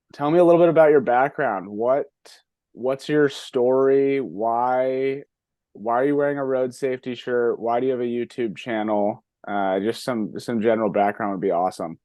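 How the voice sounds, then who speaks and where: A man's voice: mid-range voice, fairly even intonation, measured pace, dark tone, dry recording, clean studio sound. A middle-aged man hosting an interview, asking friendly questions with many false starts. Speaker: podcast host. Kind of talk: casual interview.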